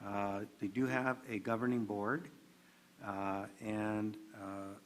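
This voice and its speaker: A man moderating a panel discussion, relaxed, passing on questions and reading out answers. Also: on the low side, slow.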